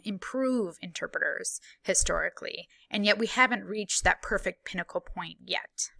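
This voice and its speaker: A woman voicing a signed conference presentation into speech, in a measured, formal way, phrase by phrase with small pauses and fillers. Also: fairly low pitch, vocal fry, a bit bright, no room sound. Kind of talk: live spoken interpretation of a presentation.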